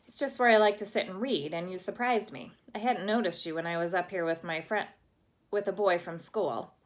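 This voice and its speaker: A woman's voice: fairly low pitch, a little fast, close to an everyday voice. Woman reading as an earnest, bookish young girl. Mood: polite. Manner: earnest, matter-of-fact. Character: thoughtful, quiet young girl who loves books.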